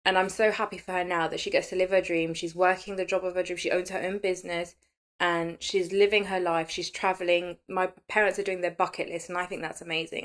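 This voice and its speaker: Low-pitched woman, chatty and candid. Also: a bit bright. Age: late 30s. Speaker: podcast host. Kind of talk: casual personal podcast monologue.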